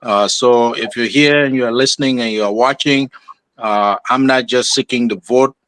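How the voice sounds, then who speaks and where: A man from Liberia with a Liberian accent, a pastor and candidate giving an earnest, polite, measured address as a guest. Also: medium pitch, fairly bright tone, clean tone, no echo, poor audio. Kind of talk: earnest spoken address of thanks and appeal.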